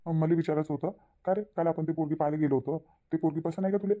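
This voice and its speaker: A man voicing a middle-aged village father in a cartoon, quick and plain-spoken with a lilt. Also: fairly high pitch, fairly even intonation, warm tone, natural voice. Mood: matter-of-fact. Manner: rapid, plain-spoken, repeats himself. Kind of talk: cartoon voice acting. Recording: tinny phone-like sound.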